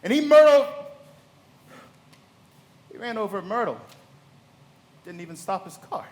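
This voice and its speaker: An actor playing an arrogant, wealthy, combative man in a radio play, speaking forcefully and indignantly. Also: high voice, lively intonation, unhurried, slight background noise. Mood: angry. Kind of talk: live radio play acting. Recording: reverberant hall.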